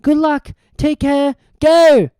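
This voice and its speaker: A woman voicing an eager, bossy, clever schoolgirl witch in a storybook reading, brisk and excited. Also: high-pitched, dry recording, clean studio sound, voice pushed up, close to an everyday voice. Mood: anxious. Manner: brisk, excited, know-it-all.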